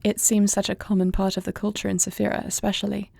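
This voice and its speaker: A woman playing an earnest young princess and heir in an intimate audio roleplay, soft-spoken and formal in her phrasing. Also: medium pitch, flat delivery, fast, creaky. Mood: fond, playful. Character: earnest young princess and warrior heir, anxious yet tender.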